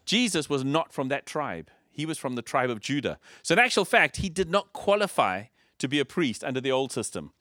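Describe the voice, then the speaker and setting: A man's voice: medium pitch, sing-song, brisk, few pauses, a bit bright, dry recording, studio quality. A man with a Zimbabwean accent giving a conversational teaching sermon in an informal, explanatory manner, posing rhetorical questions. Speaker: preacher.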